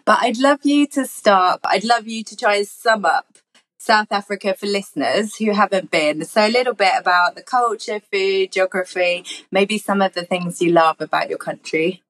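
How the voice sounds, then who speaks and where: A woman's voice: mid-range voice, moderate pace, fairly bright tone, poor audio. A woman hosting a podcast interview, enthusiastic and welcoming toward her guest. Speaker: podcast host.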